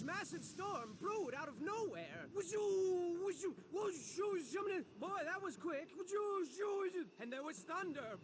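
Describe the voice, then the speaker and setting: Manic, high-pitched cartoon storyteller. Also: male voice, exaggerated voice. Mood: frantic, excited. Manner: hammy, mock-genteel, swinging from hushed to shouting. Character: manic, mischievous, theatrical male storybook narrator. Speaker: narrator and announcer. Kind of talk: comic scripted cartoon narration. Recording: music under the voice. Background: loud music.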